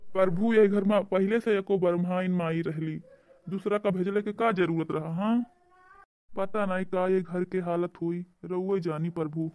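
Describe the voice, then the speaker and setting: A man voicing a mild, henpecked middle-aged father in a cartoon, gentle and resigned, with an eastern Hindi-belt accent. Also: high voice, steady tone, a little slow, no echo. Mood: weary, pleading. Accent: eastern Hindi belt (Awadhi-Bhojpuri). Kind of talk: amateur cartoon voice acting.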